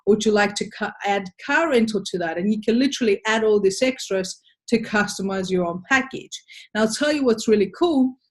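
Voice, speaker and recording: A woman's voice: mid-range voice, expressive, a little fast. An Australian woman giving a sales presentation in a webinar, upbeat and persuasive, speaking to a webcam from a home office.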